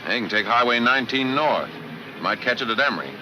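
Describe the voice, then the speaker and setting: Actor as a fast-talking, businesslike 1940s lawman. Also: medium pitch, lively intonation, noisy background, natural voice. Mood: brisk, businesslike. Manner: clipped, matter-of-fact. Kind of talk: 1940s film serial acting. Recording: old film soundtrack with music.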